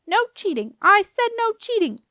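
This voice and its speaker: Woman putting on a squeaky, taunting storybook doorkeeper. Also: very animated, no room sound, clean studio sound, exaggerated voice. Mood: screaming, excited. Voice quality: squeaky, high-pitched. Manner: sing-song, sneering, drawn-out chanting. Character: grumpy, taunting, high-pitched male doorkeeper of riddles. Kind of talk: storybook character voice.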